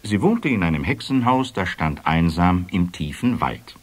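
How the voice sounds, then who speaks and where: A man with a fairly deep voice narrates a children's story. He takes his time, and his intonation rises and falls in lively, deliberate emphasis. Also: slightly rough, soft background music. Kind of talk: expressive children's fairy tale narration.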